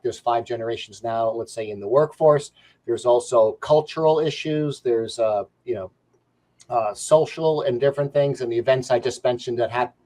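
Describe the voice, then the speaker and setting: A man's voice: mid-range voice, darkish tone. New York man, rambling leadership trainer. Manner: rambling, frequent "you know" fillers and restarts. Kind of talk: conversational interview answers.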